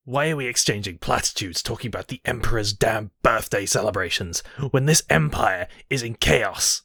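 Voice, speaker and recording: A man playing a commanding, manipulative high mage villain in an ASMR roleplay, deliberate and imperious, with a breathy, husky voice. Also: pushed and bright, no echo, clean studio sound, full character voice. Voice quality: breathy, husky, often dropping to whispers. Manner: imperious, deliberate, addressing listener as apprentice. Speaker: voice actor.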